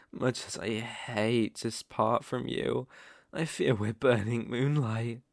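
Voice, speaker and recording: A man's voice: medium pitch, steady tone, drawn-out words, creaky, dry recording, studio quality. A British man playing a suave, possessive vampire count in an intimate ASMR roleplay, teasing and commanding in theatrical, old-fashioned phrasing. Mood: seductive, smug.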